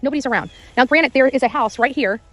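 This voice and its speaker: Woman vlogger reporting outside, voice on the high side, kinda halting, repeats herself, soft music behind. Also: dry recording. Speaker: amateur on-site reporter. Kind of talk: unscripted on-location vlog reporting. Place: outdoors in quiet rural area.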